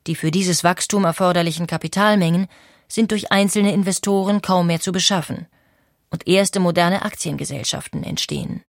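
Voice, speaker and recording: A woman narrating a history documentary in a clear, steady scripted reading. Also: fairly low pitch, normal speed, dry recording, clean studio sound.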